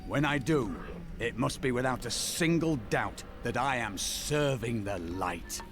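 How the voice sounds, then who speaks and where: A man voicing a devout, resolute holy warrior in a fantasy video game, speaking in an earnest, measured, formal way. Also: medium pitch, expressive, lightly acted. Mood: solemn, earnest. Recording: music behind the voice.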